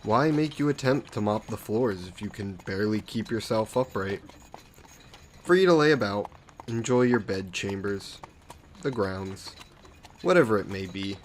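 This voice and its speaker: Man playing a slow, suave vampire lord. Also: lowish voice, slight background noise, natural voice. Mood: smug, reassuring. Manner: unhurried, smug, genteel, softly coaxing. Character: aristocratic male vampire lord, suave, condescending, possessive. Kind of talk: scripted roleplay audio drama monologue.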